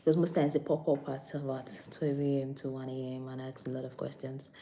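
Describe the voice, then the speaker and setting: A woman's voice: extremely low pitch, flat delivery, a little fast, noisy background. A woman from Nigeria, an anesthetics and recovery practitioner, chatting casually on a live stream and answering viewer questions, often repeating herself and reading out comments. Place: on a break during night duty.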